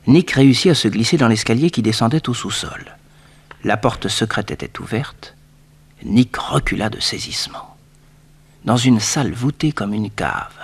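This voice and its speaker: A man narrating a 1960s French radio serial as a scripted story, in a measured, dramatic way. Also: mid-range voice, breathy edge.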